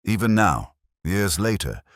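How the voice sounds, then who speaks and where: A man narrating a scripted first-person detective story in a measured, literary manner. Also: low voice, very animated, dry recording, studio quality.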